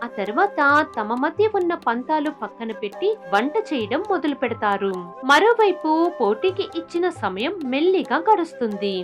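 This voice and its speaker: High, lively woman storyteller. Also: normal speed, faint music behind. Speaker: narrator. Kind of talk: scripted moral story narration.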